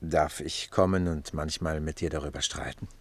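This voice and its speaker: An actor playing a wry, courtly Roman captain in a radio play, speaking quietly and with measure. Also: male voice, very deep voice, fairly even intonation, normal speed, dry recording.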